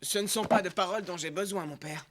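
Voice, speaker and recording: A man's voice: high voice, fast, a bit bright, a little hiss or hum. A man playing a comic, anxious, self-concerned husband in a French cartoon dub, fussy and protesting. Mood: wary.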